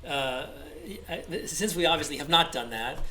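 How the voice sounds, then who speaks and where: A man's voice: fairly high pitch, moderate pace, pushed and bright, slight background noise. A man interviewing a guest over a video call, asking long, rambling questions with eager interjections and restarts. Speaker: interviewer, conductor.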